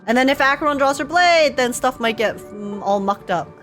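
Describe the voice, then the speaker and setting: A young woman streamer giving casual, slangy, excitable live reactions to a game. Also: high-pitched, moderate pace. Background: game music in background.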